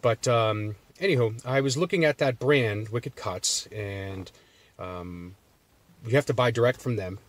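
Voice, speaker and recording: Casual man with a Boston accent, mid-pitched voice, talking from a parked car in a loose, rambling vlog style. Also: measured pace, no echo. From Massachusetts. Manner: rambling, loose, with many fillers and restarts. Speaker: jerky reviewer.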